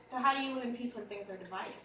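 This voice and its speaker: A woman's voice: on the high side, very fast, sharp tone. An American woman hosting a casual conversation, speaking thoughtfully and earnestly. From United States. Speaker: host. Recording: echoey room, mic far off, noisy.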